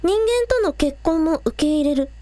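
Woman voicing an earnest high-pitched teenage idol girl. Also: lively intonation, normal speed, dark tone, dry recording. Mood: hesitant, earnest. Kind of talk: Japanese audio drama acting.

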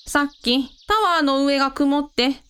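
A woman playing a gentle, motherly character in a radio drama, caring and mildly scolding in manner. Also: high-pitched, flat delivery, normal speed, a little hiss or hum. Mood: observant. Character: gentle, motherly woman who looks after everyone. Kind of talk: Japanese radio drama acting.

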